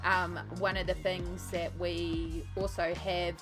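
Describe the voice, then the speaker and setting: A woman's voice: on the low side, little pitch movement, slow, a bit bright, soft background music. A young woman from New Zealand with a New Zealand accent, chatting casually and laughing as she reads out her live stream chat while colouring.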